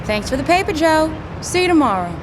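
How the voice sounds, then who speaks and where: High-voiced, plucky young grad student. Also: female voice, big pitch swings, fairly quick, noisy background. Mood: friendly. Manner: breezy, slangy 1940s-style talk. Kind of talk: old-time radio drama acting.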